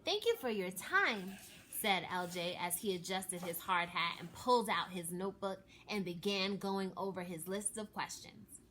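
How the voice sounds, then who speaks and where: A woman reading a children's story aloud in an expressive, animated storytelling manner. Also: fairly low pitch, normal speed, few pauses, a little hiss or hum. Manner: animated, raises voice for dialogue.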